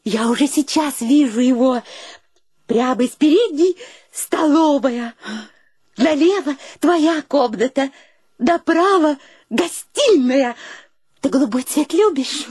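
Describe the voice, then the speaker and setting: A woman's voice: sits high, a little mellow, no room sound, studio quality. A woman playing a coy, marriage-hungry young maiden in a theatrical radio play, with an affected, cooing manner.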